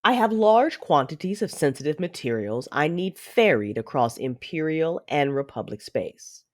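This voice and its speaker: A woman playing a commanding, coolly menacing Sith lord in an audio drama, speaking in a measured, imperious, formal way. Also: deep voice, big pitch swings, darkish tone.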